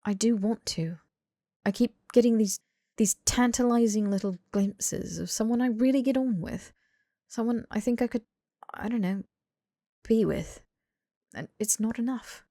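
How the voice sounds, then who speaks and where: A woman's voice: on the high side, unhurried, pauses often, dark tone, dry recording. A woman voicing an earnest, blunt young British man in audiobook dialogue, emphatic and pleading. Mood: earnest, tentative. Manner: emphatic, sweary, rambling tirades.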